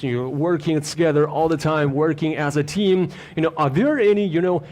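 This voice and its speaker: A man presents a live stream to fans from a studio. His voice sits a little higher than most men's. He talks in an upbeat, chatty way and pads his sentences with filler phrases. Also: a little mellow. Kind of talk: upbeat presenting to an online audience.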